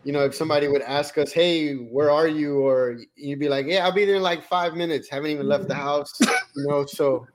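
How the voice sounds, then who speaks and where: A man's voice: on the high side, fairly even intonation, fairly quick, few pauses, noisy background. A man from Colombia co-hosting a podcast, talking in a rambling, friendly way and often repeating words. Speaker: podcast co-host. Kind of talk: casual interview chat.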